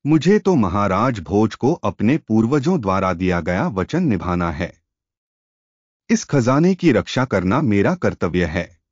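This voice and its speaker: A man's voice: fairly low pitch, big pitch swings, unhurried, a little mellow, no echo, lo-fi sound. A man voicing a quarrelsome, menacing serpent who argues scornfully in a folk tale. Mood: angry, scornful. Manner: accusing, scornful.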